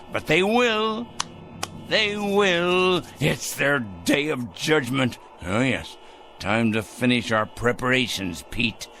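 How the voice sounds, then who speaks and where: An actor playing a deranged, self-righteous crank in an audio drama, ranting gleefully and muttering to himself. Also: male voice, medium pitch, fairly bright tone, exaggerated voice. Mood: smug, brooding. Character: gleeful deranged crank bent on judging evil people. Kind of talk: theatrical audio drama acting.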